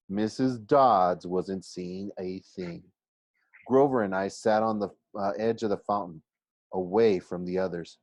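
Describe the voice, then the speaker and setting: Guy w a lowish voice reading a kids book out loud, bit stumbly, pretty dry sound. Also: dry recording. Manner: halting, stumbles and rereads phrases. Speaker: teacher reading aloud for a class. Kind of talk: expressive audiobook-style reading of a children's novel.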